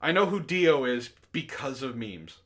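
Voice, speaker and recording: A man's voice: medium pitch, sing-song, a little slow, a bit bright. A man hosting a book club stream, reading aloud and breaking into exasperated, incredulous asides in casual jokey banter.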